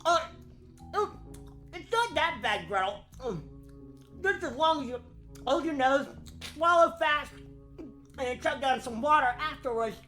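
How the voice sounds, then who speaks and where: Woman voicing an earnest, high-voiced little boy. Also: expressive, a little slow, long pauses, a bit bright, faint music behind. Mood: queasy, reassuring. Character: earnest, defensive little boy, hapless brother. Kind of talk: comedic fairy-tale radio play.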